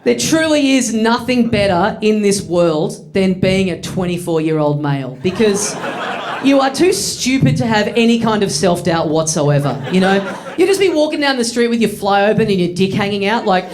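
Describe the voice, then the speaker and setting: A man's voice: high-pitched, lively intonation, moderate pace, noisy background. Wry Australian man doing stand-up. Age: 30s. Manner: wry, self-mocking, acts out voices. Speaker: stand-up comedian. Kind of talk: stand-up storytelling. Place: comedy studio with live audience.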